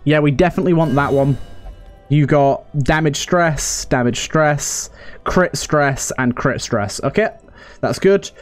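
Guy doing casual gaming commentary, kinda high voice, really animated, game music behind him. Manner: talks to the game characters, pleading and exclaiming. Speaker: gaming video host and player. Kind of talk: casual let's play commentary.

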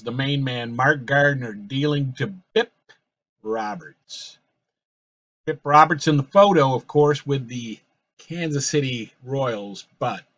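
A man calling a simulated baseball game in casual play-by-play, his voice slightly raspy from a cold, rambling and correcting himself as he goes. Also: medium pitch, bright, forceful tone, dull audio. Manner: rambling, with fillers and self-corrections. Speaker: host playing a baseball sim.

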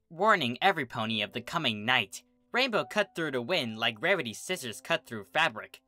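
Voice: medium pitch, pushed and bright. A narrator reading a fan fiction story in a dramatic storybook style. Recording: music behind the voice. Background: music.

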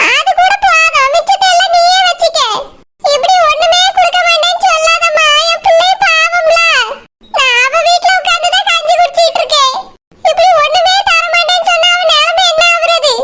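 A woman's voice: very high-pitched, steady tone, moderate pace. A woman playing an aggrieved, pleading old mother-in-law in a family drama skit, with a southern Tamil Nadu accent and a wailing delivery. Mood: tearful pleading. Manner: wailing, imploring. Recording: very noisy recording with clicks.

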